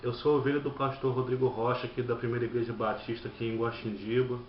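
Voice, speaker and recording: Halting man giving a church testimony. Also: medium pitch, level tone, a little hiss or hum. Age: 30s. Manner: halting, repeats words and restarts phrases. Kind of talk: personal testimony. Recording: dull lo-fi audio in an echoey room.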